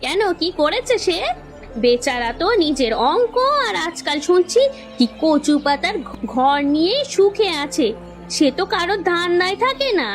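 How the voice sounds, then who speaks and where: A woman's voice: extremely high pitch, very animated, normal speed, faint music behind. A woman voicing a bossy, moralising village woman in a cartoon, with a haughty, scolding way of talking. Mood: questioning. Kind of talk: cartoon voice acting.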